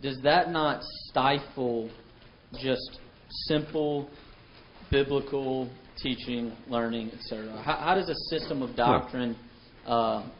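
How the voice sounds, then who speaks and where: A man's voice: mid-range voice, slow pace, muffled recording, a little hiss or hum. A man interviewing a guest, posing prepared questions hesitantly with many fillers and restarts.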